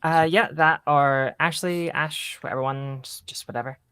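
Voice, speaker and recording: A woman's voice: sits low, steady tone, normal speed, fairly bright tone, smooth. A woman from southern Scotland with an American-influenced Scottish accent, chatting casually and tentatively as a guest. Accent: mixed American-influenced Scottish. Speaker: podcast guest. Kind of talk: casual chat.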